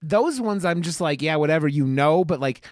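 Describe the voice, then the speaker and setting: A podcast co-host with a mid-pitched, very animated voice, recorded dry and close. The talk is casual film chat, rambling and halting, with plenty of swearing. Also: no room sound.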